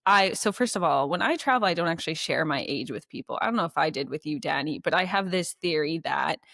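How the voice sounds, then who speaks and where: A woman's voice: deep voice, lively intonation, fast talker, creaky, fairly bright tone, dry recording, clean studio sound. A woman co-hosting a podcast, telling stories with animation, laughing often and speeding up as she talks. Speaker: podcast co-host. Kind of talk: casual interview chat.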